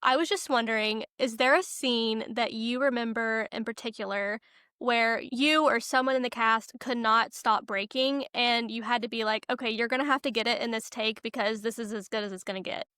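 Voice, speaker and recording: Lady cohost asking casual interview questions, crisp clean voice, no room sound. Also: on the high side, fairly even intonation, normal speed, sharp tone, dry recording, studio quality. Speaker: podcast co-host.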